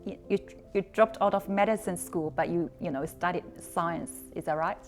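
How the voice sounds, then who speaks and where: A woman's voice: lowish voice, normal speed, soft background music. Woman host with friendly questions. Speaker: interview host. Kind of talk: interview hosting.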